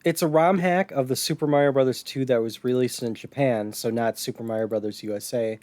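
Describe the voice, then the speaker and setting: A man's voice: medium pitch, brisk, a little mellow, no echo. Man in casual chat, very dry sound. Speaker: podcast co-host. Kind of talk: casual retro gaming chat.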